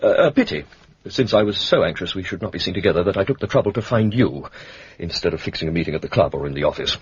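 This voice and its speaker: An actor with an English accent playing an older, brisk, pompous and secretive senior government official in a radio drama. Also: male voice, deep voice, lively intonation, slightly rough, no echo, muffled recording, full character voice. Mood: fussy, flustered. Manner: clipped, self-important, harrumphing. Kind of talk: British radio drama acting.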